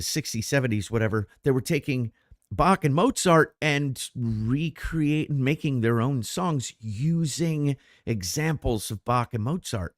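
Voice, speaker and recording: A middle-aged man co-hosting a podcast, talking in a loose, rambling way and often restarting his sentences. His pitch swoops all over the place with a lively bounce. The sound is dry and close, with no room echo. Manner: rambling, animated, frequent restarts. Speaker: podcast co-host. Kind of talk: casual chat.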